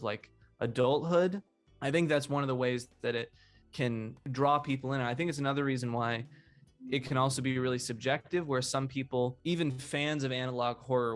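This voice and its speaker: Guy chatting thoughtfully in an interview, keeps rewording stuff, music behind him. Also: medium pitch. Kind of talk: casual interview commentary. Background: background music.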